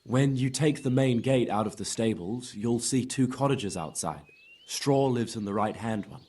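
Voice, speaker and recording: A husky male villager from a medieval game, voiced by a man, mid-pitched. He is folksy and forthcoming, laying things out plainly. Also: moderate pace, darkish tone, close to an everyday voice. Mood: concerned. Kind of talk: video game voice acting.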